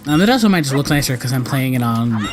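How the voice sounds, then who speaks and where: A man's voice: medium pitch, lively intonation, quick pace, over music. A young man giving casual let's play commentary, rambling and often correcting himself as host. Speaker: gaming video host.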